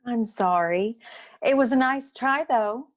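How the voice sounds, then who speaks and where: A woman's voice: a little high, unhurried, natural voice. A woman playing a practical, sympathetic detective's office associate in a noir radio play.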